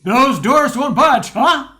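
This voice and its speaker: A woman's voice: high voice, lively intonation, slow pace, pushed and bright, full character voice. A woman playing a folksy radio announcer, delivering scripted narration and sponsor copy in old-time style. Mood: cheery selling.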